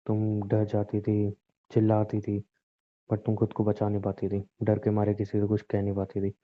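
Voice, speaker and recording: A man acts out a devoted young husband in a romantic call drama. His voice is low and quite flat in melody, earnest and reassuring. It comes through muffled, like a phone line. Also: moderate pace, no echo, natural voice. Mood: earnest, explaining. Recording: muffled, narrow phone-like audio.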